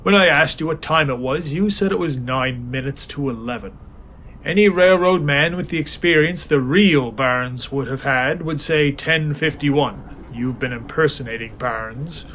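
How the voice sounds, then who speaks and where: A man's voice: fairly high pitch, unhurried, a little hiss or hum. A man voicing a cool-headed male police inspector who solves a killing aboard a train. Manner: calm, matter-of-fact.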